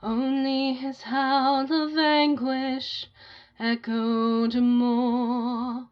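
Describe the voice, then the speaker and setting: A woman's voice: sits high, level tone, extremely slow, faint music behind. A woman performing a solemn, mournful lament in lyrical verse, with muffled sound.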